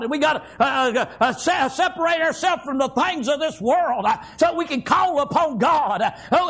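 Really high pitched guy preaching hard in church, strained raised voice, shouty, off the cuff. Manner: shouting, impassioned, punctuated with praise interjections. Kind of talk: fervent extemporaneous church sermon.

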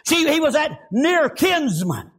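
Male preacher, very high voice, fervent shouting, constant amens. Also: big pitch swings, a little slow. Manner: fervent, shouting, with constant "praise God" and "amen". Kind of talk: revival sermon.

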